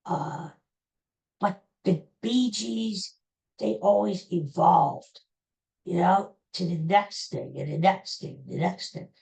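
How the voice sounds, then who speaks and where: A woman's voice: on the low side, slow pace, gravelly, darkish tone. A woman in her sixties hosting a solo podcast, rambling in a chatty way and talking straight to her listeners. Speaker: podcast host. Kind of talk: casual solo podcast monologue.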